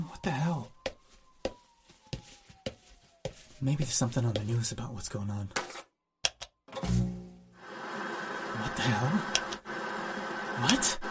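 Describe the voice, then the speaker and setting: A man's voice: medium pitch, very noisy, lightly acted. A man playing a frightened, foul-mouthed ordinary guy in a horror audio drama, muttering and exclaiming to himself. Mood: uneasy, baffled. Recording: music behind the voice.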